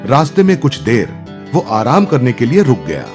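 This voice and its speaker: A man's voice: medium pitch, huge pitch swings, moderate pace. A man narrating a scripted story for children. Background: background music.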